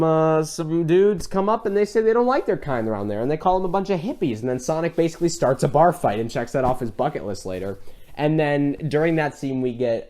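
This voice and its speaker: Male podcast co-host, casual film-chat style, animated and rambling storyteller. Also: mid-range voice.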